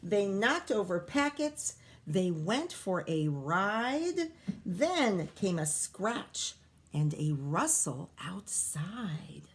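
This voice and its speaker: A woman teacher reading a picture book aloud to young children in an expressive, sing-song way, with coaxing asides and questions. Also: medium pitch, extremely slow. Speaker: primary school teacher reading aloud to pupils.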